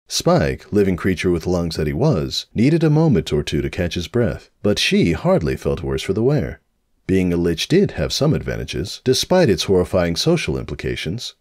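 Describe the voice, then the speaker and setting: A man's voice: sits low, darkish tone, no echo, studio quality. A man narrating a comic story as a dramatic reading.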